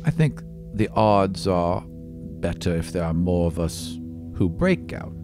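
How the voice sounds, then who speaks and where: A man plays a haughty young demigod in a tabletop roleplay. The voice sits low, with terse, calculating, aloof delivery. Instrumental music plays clearly behind it. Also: expressive, takes it slowly. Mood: cool, guarded. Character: haughty, self-serving young male demigod student, coolly calculating. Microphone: close podcast microphone on stand.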